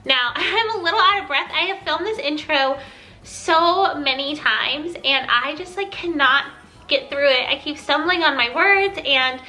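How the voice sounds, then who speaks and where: A woman's voice: sits high, a little slow, pushed and bright, rough recording. A woman hosting a casual home decor vlog, chatting in an upbeat way with a nasal, congested-sounding voice. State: congested from being sick. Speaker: video host, home decor vlogger.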